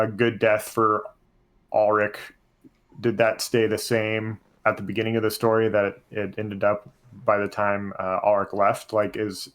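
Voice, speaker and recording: A man hosting a casual roundtable, deliberate and halting as he rephrases his questions. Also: on the low side. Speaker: podcast host posing questions.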